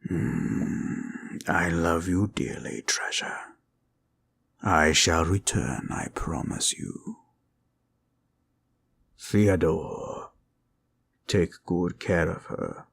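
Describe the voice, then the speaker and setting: A man playing a gentle, protective dragon in a comfort roleplay, speaking formally and tenderly in a solemn, gravelly voice. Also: drawn-out words, pauses often, heavily acted. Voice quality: deep, gravelly. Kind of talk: roleplay comfort audio acting.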